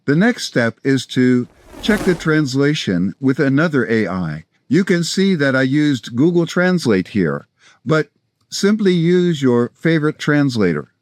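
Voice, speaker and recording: This is an American man in his sixties hosting an instructional video. His voice sits at an average pitch for a man. He explains things step by step in a measured, scripted manner, and the sound is dry, with hardly any room in it. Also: very animated, dry recording. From South Florida, United States. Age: 60s. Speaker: language-learning video host, polyglot. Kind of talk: scripted instructional narration to camera.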